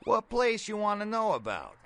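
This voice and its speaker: A man playing a brash, folksy middle-aged bail bondsman in a video game, with a gravelly voice and blunt, slangy talk. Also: high-pitched, huge pitch swings, normal speed, fairly bright tone, faint music behind, full character voice. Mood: chatty. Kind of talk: video game character dialogue.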